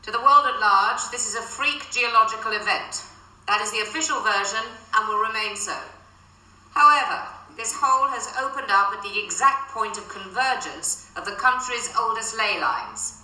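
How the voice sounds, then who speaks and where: A woman's voice: fairly high pitch, normal speed, pushed and bright. An actress playing a stern, commanding female secret agency chief in a radio drama, clipped, authoritative and dryly sarcastic. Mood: commanding, grave. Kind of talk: modern radio drama acting. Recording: roomy, distant, slightly noisy.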